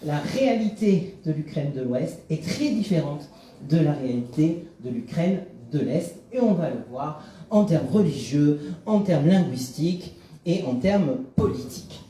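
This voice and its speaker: A man from France gives a public talk in a conference room, his voice very dark and mellow yet pitched a little high. He speaks in a measured way and often stops on fillers. The sound is roomy and echoey. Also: expressive, slight background noise. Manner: measured, frequent hesitations. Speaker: lecturer. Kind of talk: public lecture.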